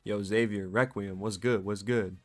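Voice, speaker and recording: A male streamer chatting casually and excitably on a live stream, his voice a bit scratchy from cheering, in a warm home room. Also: on the low side, normal speed, faint music behind. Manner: excitable, slangy, rambling.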